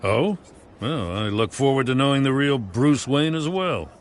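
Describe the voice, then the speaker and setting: An actor playing a plainspoken, hopeful middle-aged police commissioner in a video game, speaking earnestly. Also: male voice, mid-range voice, expressive, unhurried, slight background noise, natural voice. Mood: friendly, frank.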